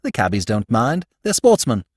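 A man's voice: mid-range voice, huge pitch swings, moderate pace, darkish tone, no room sound, clean studio sound. A man voicing a silly young lord with an airy, chatty, foppish manner in a storybook. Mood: breezy. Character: silly breezy young English lord, idle man-about-town.

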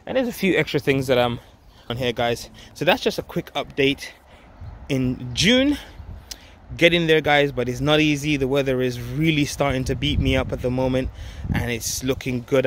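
Guy in his 30s doing a casual garden vlog, upbeat n emphatic, normal pitch, bit of outdoor traffic noise. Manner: enthusiastic, emphatic, addresses viewers as guys. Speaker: vlog host, allotment gardener. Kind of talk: casual handheld garden update to viewers. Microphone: handheld phone at close range. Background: outdoor ambience, vehicle noise.

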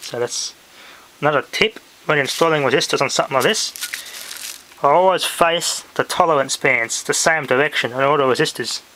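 A man's voice: a little high, normal speed, sharp tone. A man presenting a hands-on hobby demonstration casually, thinking aloud, recorded indoors on a handheld camera. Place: indoors in a home room. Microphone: handheld camera or phone. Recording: noisy handheld home video, clicks.

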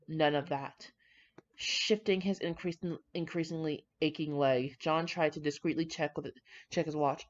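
Casual female reader, fairly low voice, brash and digressive, slightly dull home recording. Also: a little mellow. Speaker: fan fiction reader. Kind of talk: casual read-aloud with running commentary.

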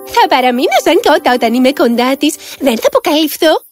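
A woman's voice: high voice, sing-song, faint music behind. A woman voicing a sweet, curious young fairy hen in a cartoon tale, wondering and exclamatory. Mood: determined.

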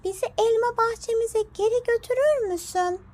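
Lady doing a teeny friendly worm for a kids story, super high, cutesy n imploring. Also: moderate pace, a little mellow, slight background noise. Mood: pleading. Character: family of tiny friendly apple worms, pleading. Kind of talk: children's storybook character voice.

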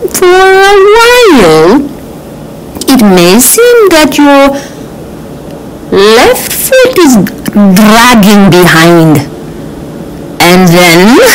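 An eccentric woman fortune-teller, acted out by a woman, delivers her oracular forecast in a high voice that swings widely in pitch. She goes extremely slowly, with halting, drawn-out, repeated phrases. She stays serene and solemnly whimsical throughout. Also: noisy background, exaggerated voice. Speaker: astrologer giving a forecast. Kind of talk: slow theatrical spoken forecast.

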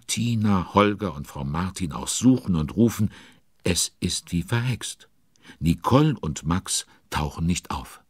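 A man's voice: deep voice, husky, no room sound, clean studio sound, full character voice. A man narrating a children's audio play in a chatty, wry storyteller's manner. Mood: dramatic storytelling. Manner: commenting chattily on events, addressing listeners.

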